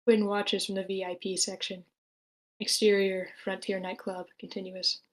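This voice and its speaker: A woman's voice: medium pitch, level tone, normal speed, poor audio. A woman reading stage directions in a matter-of-fact way at a screenplay table read.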